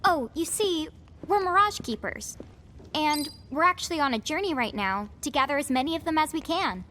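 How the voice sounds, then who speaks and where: A woman's voice: high voice, expressive, moderate pace, pushed and bright, slight background noise. A woman voicing a polite, sensible teenage girl adventurer in a video game. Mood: polite, upbeat. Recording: game music playing behind.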